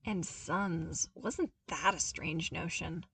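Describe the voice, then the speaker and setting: A woman's voice: lowish voice, slow pace, a bit bright, husky. Woman narrating a story, slightly distant mic. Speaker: podfic narrator reading fan fiction. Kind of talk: expressive scripted story narration.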